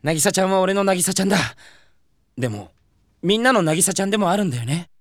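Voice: medium pitch, expressive, fast, no room sound, studio quality, close to an everyday voice. An actor playing an earnest, flustered, lovesick teenage boy who scolds themself in an anime audio drama.

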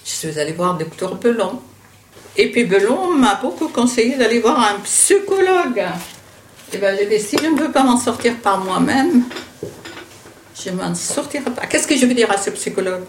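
A woman's voice: fairly high pitch, lively intonation, normal speed, echoey room, a little hiss or hum. An older woman from Syria, talking bluntly and dismissively with her daughter at home. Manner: blunt, dismissive, talks over her questioner. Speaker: interviewee, the producer's mother. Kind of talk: candid family conversation.

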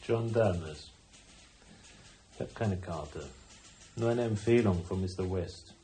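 An actor playing a cool, wisecracking private detective in a noir radio drama, speaking laconic with dry sarcasm. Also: male voice, sits low, slow pace, warm tone, soft background music. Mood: dry, guarded. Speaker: lead actor and first-person narrator.

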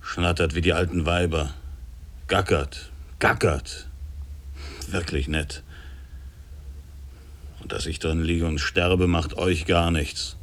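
An actor playing an ailing, arrogant giant sailor in a radio drama, slow, reproachful and full of sarcastic self-pity. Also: male voice, low voice, steady tone, fairly bright tone.

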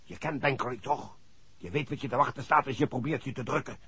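An actor playing a pushy, threatening criminal go-between in a 1960s Dutch radio drama, raspy-voiced. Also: male voice, mid-range voice, quick pace, pushed and bright, no echo, exaggerated voice. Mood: menacing. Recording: dull 1960s radio recording.

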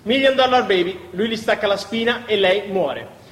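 A man's voice: high-pitched, moderate pace, fairly bright tone, a little hiss or hum. A man performing a live theatre recitation as a stage actor. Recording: echoey theatre hall, long reverb.

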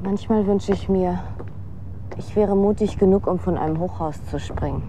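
Clear street and traffic noise surrounds a young woman played by a radio actress. She is bitter and sardonic, a world-weary would-be film star who talks in a deadpan, cynical way with a mid-pitched voice. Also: normal speed, lightly acted. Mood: weary, morbid. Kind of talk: German radio drama acting. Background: city street ambience with vehicles.

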